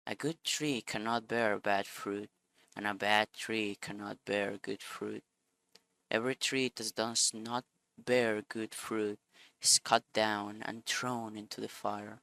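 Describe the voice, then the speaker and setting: A man's voice: lowish voice, level tone, takes it slowly, gravelly, pushed and bright, no room sound, rough recording. A man hosting a Bible video who reads a scripture passage and then talks it over casually and earnestly, a bit sniffly and often searching for his words.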